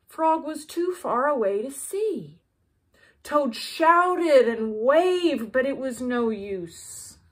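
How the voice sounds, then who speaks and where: Female storyteller: high voice, very unhurried, expressive children's bedtime reading. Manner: deliberate, expressive, with dramatic pauses. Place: living room with brick fireplace, indoors.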